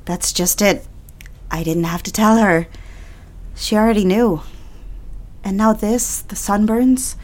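An actress playing an anxious young secretary who speaks hesitantly and softly in an audio drama. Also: female voice, mid-range voice, slow, long pauses, lightly acted. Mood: troubled. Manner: hesitant, troubled, soft.

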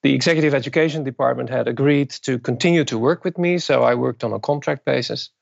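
A middle-aged man from the Netherlands with a Dutch accent, speaking as an interview guest in a thoughtful way with many pauses. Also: medium pitch, creaky, dry recording, dull audio. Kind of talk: interview conversation.

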